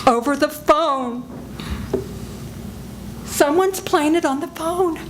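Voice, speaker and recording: An older woman gives voice to a hunted woman in an old-fashioned radio mystery. The voice is strikingly high and unhurried yet breathless with panic. A clear room noise runs under it. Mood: frightened. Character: terrified woman targeted by a killer. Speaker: stage reader in a live radio play. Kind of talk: 1940s radio drama reading. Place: senior center event room.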